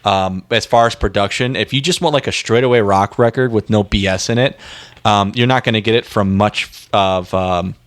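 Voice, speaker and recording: A man's voice: lowish voice, normal speed. A man co-hosting a music podcast, chatting casually in a blunt, rambling, slangy way. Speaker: podcast co-host.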